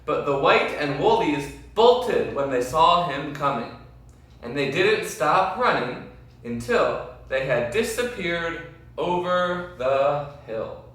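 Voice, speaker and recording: Slow, animated male storyteller, echoey room. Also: on the high side. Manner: deliberate, dramatic phrasing with questions to listeners. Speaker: read-aloud storyteller. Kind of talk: expressive children's story reading. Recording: very echoey room, distant mic.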